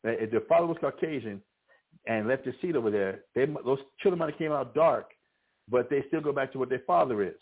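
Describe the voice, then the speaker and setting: Halting male preacher on a tinny line. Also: medium pitch, lively intonation, slightly rough. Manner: halting, with many restarts and fillers. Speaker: teacher of a scripture class. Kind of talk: preaching a scripture class. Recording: phone-like narrow band.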